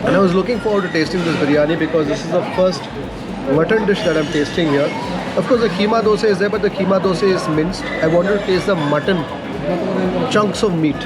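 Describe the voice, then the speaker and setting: A man from Bangalore with an Indian English accent, describing and judging dishes at a roadside restaurant in a measured, detailed way. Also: high voice. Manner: measured, descriptive tasting commentary. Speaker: food vlogger host. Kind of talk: casual food review vlog.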